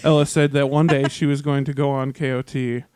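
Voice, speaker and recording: A man's voice: mid-range voice, level tone, brisk, a little mellow, smooth, no room sound, studio quality. A man co-hosting a podcast in casual chat, with a tongue-in-cheek, mock-serious way of joking. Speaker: podcast co-host.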